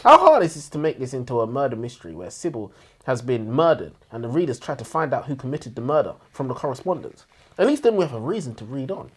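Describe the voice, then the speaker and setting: A man's voice: medium pitch, sing-song, fast talker, husky. A man co-hosting a book review, giving opinions in an emphatic, scathing way.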